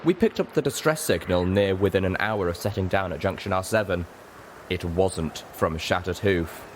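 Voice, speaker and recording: A man's voice: sits low, normal speed. A man reading a story aloud in dramatic, scripted first-person narration. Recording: faint background noise.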